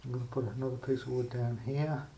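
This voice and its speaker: A man giving a casual, unscripted hands-on demonstration as a hobbyist, speaking haltingly with pauses in mid-phrase. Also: mid-range voice, flat delivery, creaky, warm tone, low-quality recording. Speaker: hobbyist showing a homemade radio aerial project. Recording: faint background noise, amateur camera recording.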